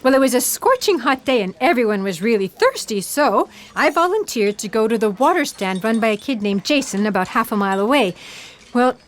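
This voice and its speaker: Woman as a young female scientist, high pitch, self-doubting, hesitant, nervy, audio play. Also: very animated, a little fast. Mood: nervous, eager. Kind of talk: sci-fi audio theatre acting.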